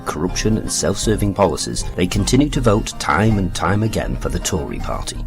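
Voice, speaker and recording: A man narrating a comedy audio drama with an indignant, ranting delivery. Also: sits low.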